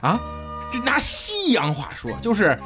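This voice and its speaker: A whiny, self-important shopkeeper in a comic radio drama. His delivery is melodramatic and sing-song, swinging widely in pitch and stretching words into wails. Music plays clearly behind him. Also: huge pitch swings, a little slow, full character voice. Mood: aggrieved, boastful. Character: whiny, boastful second-in-command shopkeeper, prone to weeping. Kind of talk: comic radio play acting.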